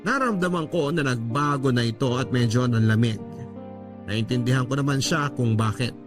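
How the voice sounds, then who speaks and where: A man's voice: medium pitch, normal speed. Filipino man narrating over music. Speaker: radio drama narrator. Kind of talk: first-person dramatic story narration. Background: background music.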